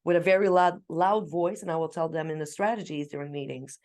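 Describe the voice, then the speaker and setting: Expressive, talkative woman with a lowish voice. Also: fairly quick. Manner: animated storyteller, acts out quoted exchanges; talkative, emphatic, self-described loud speaker. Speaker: parent sharing parenting tips as co-host. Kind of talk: casual conversational talk.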